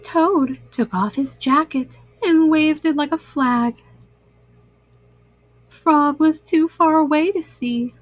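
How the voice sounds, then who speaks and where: A woman storyteller narrating a children's book with simple, deliberate phrasing. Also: high voice, no room sound, soft background music.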